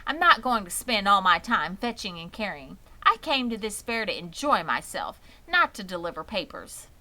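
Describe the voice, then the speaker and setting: A woman's voice: mid-range voice, very animated, normal speed, pushed and bright. A woman voicing a greedy, sneering male rat in a storybook, with a gloating, theatrical delivery. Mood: sneering, grudging.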